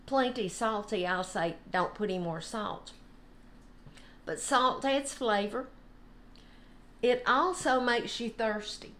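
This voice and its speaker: An older woman giving an informal, unhurried devotional talk. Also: a little high, fairly bright tone, slight background noise. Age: older, about 70s. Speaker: devotional host. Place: home kitchen, indoors.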